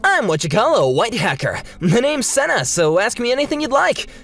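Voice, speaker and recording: A man's voice: extremely high pitch, sing-song, normal speed, vocal fry, a bit bright, voice pushed up, exaggerated voice. A man voicing a friendly, self-assured hacker tutor with a breezy manner in a comic dub. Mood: upbeat. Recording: music bed behind the voice.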